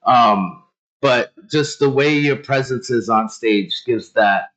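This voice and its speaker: A man's voice: medium pitch, takes it slowly, fairly bright tone, poor audio. A man hosting a casual interview, chatty and encouraging, often rephrasing his guest's answers. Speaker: interview host.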